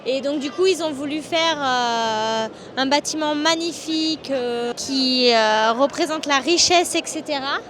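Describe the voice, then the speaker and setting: High-voiced chatty woman vlogging outdoors. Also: a little slow, few pauses, a bit bright, slight background noise. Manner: chatty, laughs often, many fillers. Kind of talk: casual travel vlog narration. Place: outdoors in city streets.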